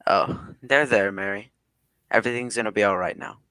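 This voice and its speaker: An earnest young sweetheart played by a man in a radio play. His voice is fairly low but bright, recorded dry, with a devoted, eager-to-please manner. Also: fairly quick, clear, resonant tone, dry recording, low-quality recording. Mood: tender, reassuring. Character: earnest, devoted young male lover, obedient and reassuring. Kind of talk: old-time radio drama acting.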